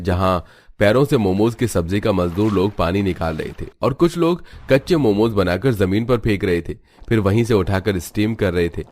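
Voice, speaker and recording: Male narrator, fairly low pitch, scripted cartoon storytelling. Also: a little mellow.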